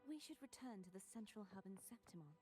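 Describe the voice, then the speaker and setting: A composed, supportive woman companion from a fantasy game, voiced by an actress, speaks in a soft-toned mellow voice. Her lines are calm and matter-of-fact, over faint music. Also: mid-range voice, fast, natural voice. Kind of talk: scripted game dialogue.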